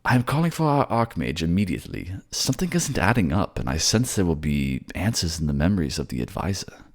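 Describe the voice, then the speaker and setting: A man's voice: low voice, sing-song, a little fast, voice pushed up. A man voicing a resolute, protective prince who speaks firmly and formally in a fantasy audio drama. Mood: urgent, resolute. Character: resolute, protective young prince, commanding and earnest.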